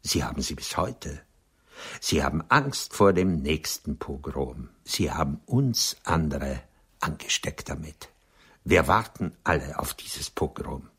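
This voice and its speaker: Very deep, husky, wounded gentleman. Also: lively intonation, a little slow, full character voice. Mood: somber, haunted. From Austria. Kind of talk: German radio play acting.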